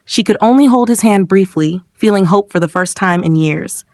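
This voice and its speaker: A woman's voice: mid-range voice, normal speed, a little mellow, no echo. Female storyteller for scripted dramatic folktale narration, dry intimate recording.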